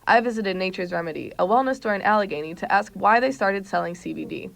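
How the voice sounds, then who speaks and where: A woman's voice: medium pitch, expressive, fast, vocal fry. A young woman working as a student TV reporter, reading a scripted news report. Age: young adult.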